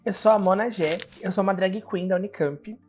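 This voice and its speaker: A man in his twenties, a student and drag performer, giving a personal testimony to camera in a lively, expressive way. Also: high voice, brisk. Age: 20s.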